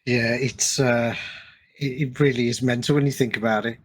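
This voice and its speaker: A man hosting a casual chat, phrasing things in a halting, hesitant way. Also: medium pitch, fairly even intonation. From West Midlands, England. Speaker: podcast host.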